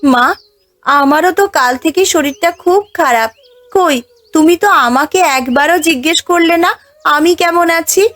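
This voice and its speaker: Cartoon casting: actress as meek young daughter-in-law, high-pitched, unhurried, patient, plaintive delivery. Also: female voice, a bit bright, soft background music. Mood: hurt. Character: meek, long-suffering young village wife. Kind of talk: Bengali cartoon fairy-tale voice acting.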